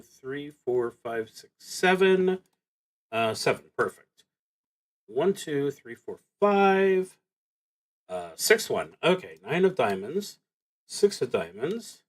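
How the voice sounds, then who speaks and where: A man livestreaming a solo roleplaying game, reading prompts and thinking aloud in a halting, dryly wry way. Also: mid-range voice.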